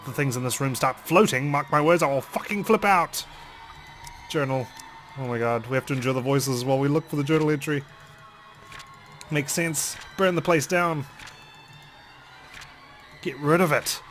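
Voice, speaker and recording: A man's voice: on the high side, moderate pace, long pauses, fairly bright tone, soft background music. A man hosting a let's play, reading a visual novel aloud dramatically and commenting on it.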